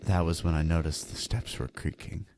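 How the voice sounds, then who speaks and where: A man's voice: a bass voice, fairly even intonation, normal speed, darkish tone, a little hiss or hum, pitched below the performer's own voice. A man playing a haunted husband and father, narrating a first-person horror story in an ominous, earnest and theatrical manner. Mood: wary, foreboding. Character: haunted husband and father confessing a terrifying ordeal. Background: background music.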